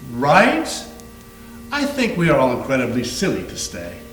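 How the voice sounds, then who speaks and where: A man's voice: mid-range voice, huge pitch swings, moderate pace, fairly bright tone. A man playing a grave, eager doctor who investigates hauntings, in an amateur readers theater performance. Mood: grave, warning. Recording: echoey room with music behind.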